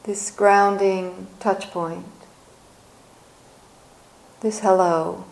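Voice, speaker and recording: A woman leading a guided meditation as a teacher, speaking gently and soothingly in short phrases with long pauses. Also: mid-range voice, steady tone, creaky, poor audio.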